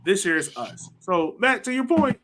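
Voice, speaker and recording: A man's voice: high-pitched, big pitch swings, moderate pace, pushed and bright. A man co-hosting a soccer fan podcast, reading off statistics with a laugh in his voice. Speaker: podcast co-host. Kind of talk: casual chat.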